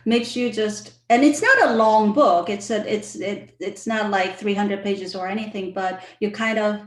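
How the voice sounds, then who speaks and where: A woman moderates an online talk in a mid-range voice, leaning on soft hedging fillers as she passes questions to her guest. Her voice carries a roomy echo. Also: mid-range voice, moderate pace. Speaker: host, moderator.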